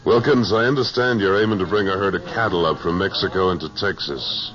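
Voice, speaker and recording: Deep, terse American cattleman-gunfighter for a 1950s radio western, played by a male actor; self-assured, plain-spoken, on a dull old broadcast recording. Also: fairly quick, music in the background, lightly acted. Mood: cool, persuasive. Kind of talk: 1950s radio western acting, first-person narration and dialogue.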